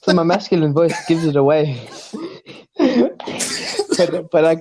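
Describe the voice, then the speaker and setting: A teenage South African man, a podcast guest, speaking casually with deadpan self-mocking jokes over a remote call. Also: fairly high pitch, a little slow, poor audio. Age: teens.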